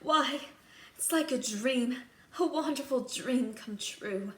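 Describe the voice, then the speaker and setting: A woman's voice: high-pitched, slow, reverberant, distant mic, pitched above the performer's own voice. A woman voicing a sweet young storybook heroine, earnest and full of grateful wonder. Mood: dreamy, grateful.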